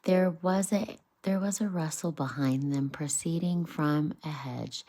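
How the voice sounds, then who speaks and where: A woman reads a children's story aloud in a low, mellow voice. Her delivery is slow, with the odd stumble as an amateur narrator. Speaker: amateur reader of a children's book.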